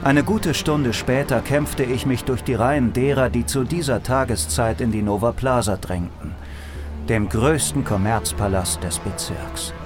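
A wry, world-weary private eye played by a man in a futuristic noir radio play, with music clearly underneath. His medium-pitched voice is measured, dry and sardonic. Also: close to an everyday voice. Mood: wry, brooding. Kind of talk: noir audio drama narration and dialogue.

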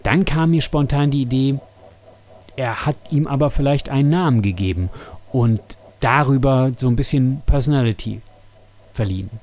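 A man's voice: mid-range voice, a little mellow. A man hosting an audio drama podcast, chatting informally to listeners and sprinkling in English words. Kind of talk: casual behind-the-scenes talk to listeners.

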